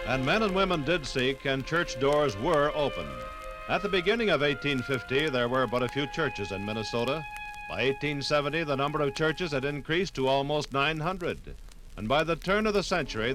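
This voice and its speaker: An American man narrating a scripted 1950s radio documentary in a formal, measured way. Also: medium pitch, expressive, over music, rough recording.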